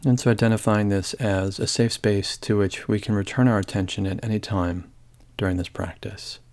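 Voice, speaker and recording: A man leading a guided body scan meditation in a calm, gentle, measured way, leaving long pauses. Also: fairly low pitch.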